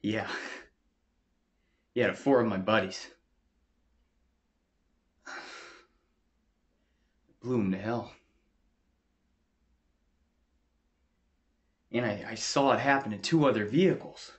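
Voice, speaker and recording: An actor playing an anxious, deferential young war veteran with an American accent, speaking haltingly in a therapy interview drama. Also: male voice, fairly low pitch, close to an everyday voice. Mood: anxious, distressed. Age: young adult. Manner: halting, subdued. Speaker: actor as patient. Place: small furnished room, indoors.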